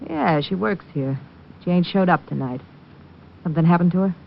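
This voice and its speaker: A woman's voice: lowish voice, moderate pace, creaky. A woman playing a brassy, jealous carnival dame in an old radio detective drama, snappy, slangy, sarcastic and hostile. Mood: wary, bitter. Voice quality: hard-boiled, slangy. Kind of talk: 1940s-50s radio detective drama acting. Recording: muffled old radio recording.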